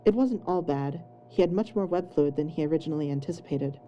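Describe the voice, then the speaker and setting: A woman with a low, very dark and mellow voice reads scripted story narration. There is faint noise in the home recording. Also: fast, poor audio. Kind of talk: scripted fan fiction narration.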